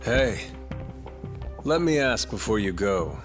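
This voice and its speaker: A man's voice: on the low side, big pitch swings, takes it slowly. A man playing a bitter, contemptuous accuser in an English video game dub, pointed and needling. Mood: accusing. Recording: music under the voice.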